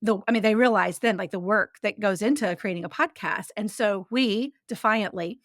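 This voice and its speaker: A woman's voice: mid-range voice, moderate pace, no room sound, clean studio sound. A woman in her forties, a business coach guesting on a podcast, telling stories in a chatty way with frequent laughs. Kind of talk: casual interview chat.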